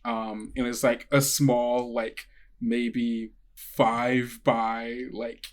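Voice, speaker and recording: A game master with a mid-pitched, androgynous voice improvises scene description for a tabletop roleplaying game. The delivery is casual and conversational, feeling its way haltingly through each detail. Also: flat delivery.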